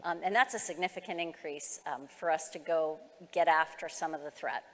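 A woman's voice: low-pitched, moderate pace. American woman presenting in a reverberant hall. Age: middle-aged. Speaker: keynote speaker, government executive. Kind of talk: conference presentation, explanatory, semi-formal. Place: large conference hall, on stage.

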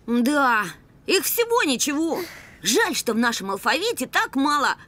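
A woman playing an eager, bossy young boy puppy full of big ideas in an animated cartoon. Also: high-pitched, unhurried, full character voice. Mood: boastful, wistful.

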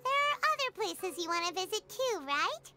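A high, squeaky fairy companion for a game, lively and bright. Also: female voice, moderate pace. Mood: chirpy. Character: tiny floating female fairy companion, chirpy. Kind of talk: video game dialogue. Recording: game music underneath.

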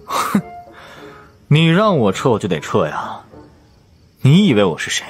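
A man voicing a smug, impatient, coldly defiant man in an audiobook, with a husky voice. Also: mid-range voice, huge pitch swings, unhurried, soft background music, full character voice. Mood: scornful. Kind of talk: audiobook character acting.

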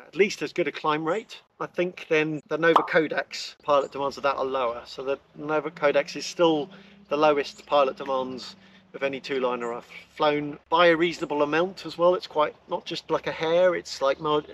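Middle-aged male reviewer, slightly high voice, chatty and enthusiastic, recorded outdoors in a garden. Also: fairly bright tone, slight background noise. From England. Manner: chatty, enthusiastic, frequent restarts. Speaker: gear reviewer. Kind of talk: casual product review.

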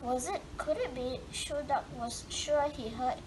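High-voiced woman reading a storybook slowly. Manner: drawn-out words with dramatic emphasis. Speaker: storybook reader. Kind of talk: expressive read-aloud of a children's picture book. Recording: clearly noisy home recording.

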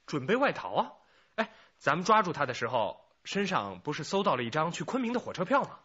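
An actor playing an eager, excitable junior police detective who jokes with his boss in a radio drama. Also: a little high, fairly quick, slightly rough, lo-fi sound, full character voice. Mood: keen, insistent.

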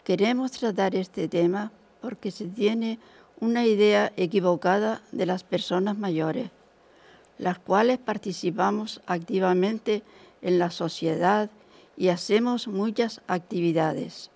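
A woman in her eighties with a Canary Islands Spanish accent, reading a prepared introduction slowly and carefully into a handheld mic on a theater stage. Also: mid-range voice, darkish tone. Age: 80s. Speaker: senior workshop participant. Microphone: handheld mic held by another person.

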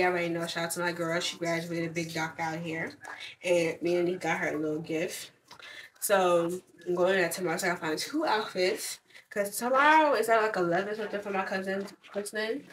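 A woman's voice: medium pitch, a bit bright, slight background noise. A young woman, congested from covid, chatting bluntly and swearing freely in a casual vlog. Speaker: vlogger.

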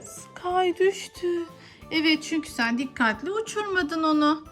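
Very high-pitched woman playing with her child. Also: animated, unhurried, a bit bright, faint music behind. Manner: asks questions to prompt a child. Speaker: mother playing toys with young son. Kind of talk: playful chat and narration of toy play.

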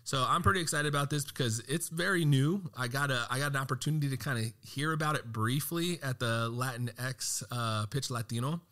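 A man's voice: medium pitch, fairly quick, hardly any pauses, sharp tone. A man hosting an entrepreneurship podcast, reading a prepared intro and then welcoming his guest. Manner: upbeat, reads a prepared intro. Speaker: podcast host. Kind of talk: podcast interview and scripted intro.

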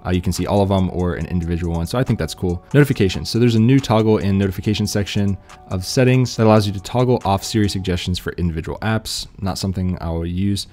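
A man's voice: low-pitched, fast talker, a little mellow, soft background music. A man giving casual, laid-back tech news commentary in an informal, slangy way.

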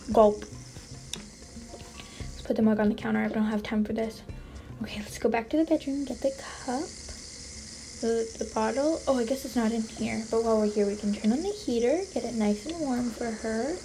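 A woman improvising a role-play story as a mother character, chatty and play-acting, sometimes hushed. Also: a little high. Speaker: role-play video narrator. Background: background music.

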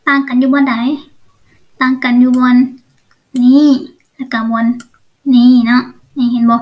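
A woman's voice: high-pitched, little pitch movement, moderate pace, lo-fi sound. A woman with a Lao accent teaching English in an online lesson, explaining step by step and often asking check questions.

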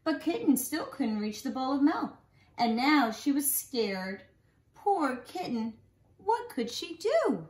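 Woman in her forties, very lively intonation, bedtime story reading, drawn-out emphasis, roomy home recording. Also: sits high. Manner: animated storytelling for young children, drawn-out emphasized words. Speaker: nursery school teacher reading bedtime stories. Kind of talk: expressive read-aloud of a children's picture book. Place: small living room at home, indoors.

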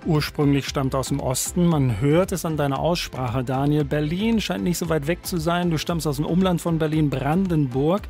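A male radio host with a somewhat high voice steers the conversation, asking questions and trailing what comes next. He has a practiced announcer's delivery. Faint music plays under him. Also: moderate pace, few pauses, darkish tone. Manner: smooth announcer, leads the talk with questions and teasers. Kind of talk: radio show hosting.

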